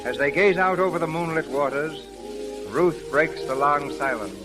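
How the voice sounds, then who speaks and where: A man hosting a radio theatre, reading scripted narration in a formal, measured 1930s showman style. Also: fairly high pitch, over music. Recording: crackly, hissy 1930s radio recording.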